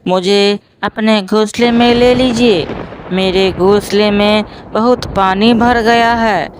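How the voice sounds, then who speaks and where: A man's voice: sits high, steady tone, slow, background noise. A man voicing a small, polite female bird in a cartoon, pleading earnestly.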